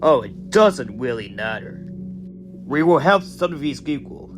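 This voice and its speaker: A man's voice: mid-range voice, very animated, measured pace, over music. A man acting out an amateur audio drama in an everyday, conversational, improvised-sounding voice.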